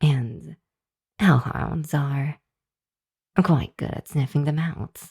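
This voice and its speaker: A woman voicing a shy, earnest young hellhound demon girl in an ASMR roleplay, speaking to the listener in a hesitant, flustered, halting way. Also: deep voice, gravelly, dark tone, breathy edge, full character voice. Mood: sincere, grateful. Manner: hesitant, flustered, halting with sighs and nervous chuckles.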